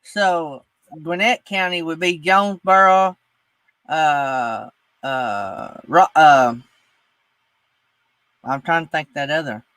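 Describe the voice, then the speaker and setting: Male livestream host, Southern US accent, high pitched, dry recording, informal chat. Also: takes it slowly, long pauses, fairly bright tone, dry recording. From northeast Alabama, United States. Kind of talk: casual chat.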